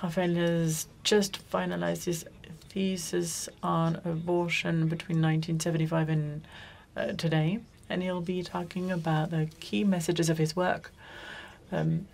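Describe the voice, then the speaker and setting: Woman moderating a conference panel, lowish voice, keeps correcting herself. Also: little pitch movement, a little slow, vocal fry, a little mellow, a little hiss or hum. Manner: loose, self-correcting, with frequent restarts. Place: conference room.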